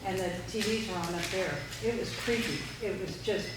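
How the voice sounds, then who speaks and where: A woman's voice: mid-range voice, normal speed. American woman recollecting in a very echoey room. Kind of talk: personal recollection told to a group. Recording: very echoey, distant, noisy room.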